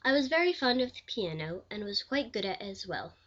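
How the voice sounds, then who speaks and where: A woman playing an elderly, proud pioneering lawyer and commissioner in a scripted interview, speaking formally and deliberately. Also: mid-range voice, big pitch swings, a bit bright, lo-fi sound. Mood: reflective, proud. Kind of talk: scripted mock interview, reenacted historical figure.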